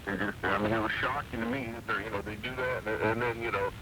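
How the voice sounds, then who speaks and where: A man in his forties calling a radio show, telling stories in a casual, halting, rambling way over a shortwave broadcast. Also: on the low side, steady tone. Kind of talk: casual phone-in chat. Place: phone call into radio show. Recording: very noisy shortwave broadcast, distant caller sound.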